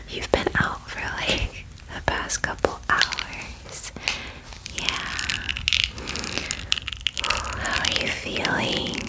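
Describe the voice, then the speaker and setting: A woman voicing a friendly, eager dragon in cute human form, whispering softly and chattily in an ASMR fantasy roleplay. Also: close to an everyday voice, poor audio. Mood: gentle concern. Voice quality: soft, breathy whisper. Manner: chatty, gushing, hesitant, reassuring.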